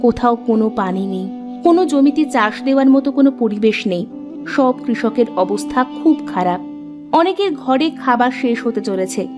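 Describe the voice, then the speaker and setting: A woman narrates a scripted children's story with a high, very animated voice. Her storytelling is measured and expressive, with soft music underneath.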